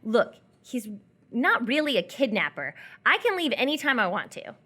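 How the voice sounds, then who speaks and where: A woman playing a coy, lonely young captive in live radio theatre, playful and evasive, with hesitant pauses. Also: on the high side, big pitch swings, bright, forceful tone, full character voice. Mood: evasive, defensive.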